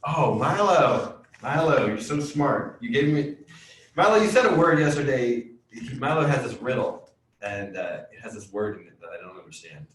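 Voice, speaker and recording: Man, music teacher, chatty and excitable, lively pitch, echoey room. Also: normal speed. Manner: chatty and excitable, explaining with lots of fillers. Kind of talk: teaching a children's science class online. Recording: echoey room, distant mic.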